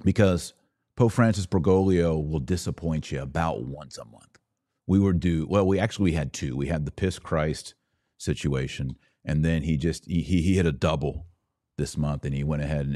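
A man's voice: very deep voice, normal speed, warm tone, dry recording, studio quality. An American man giving opinionated solo podcast commentary, sarcastic and emphatic, repeating phrases for effect.

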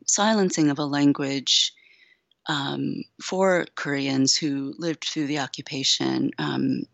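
A woman poet being interviewed, who speaks slowly and reflectively with many pauses and fillers, and also reads her own poem aloud. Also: low-pitched, vocal fry, no room sound, lo-fi sound. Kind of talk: thoughtful interview talk and poetry reading.

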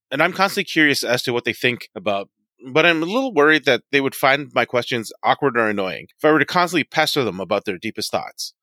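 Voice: mid-range voice, sharp tone, no room sound. A podcast host introducing a guest and their topic with eager curiosity.

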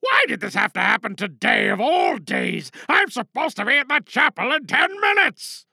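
Comic audio drama: performer as reckless, self-righteous driver, indignant and wheedling, loud high swings, sharp tone. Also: huge pitch swings, normal speed, gravelly, no room sound, studio quality, heavily acted. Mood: flustered, wheedling. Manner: indignant, mock-pious, wheedling excuses. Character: frantic, self-righteous reckless driver lying to a police officer.

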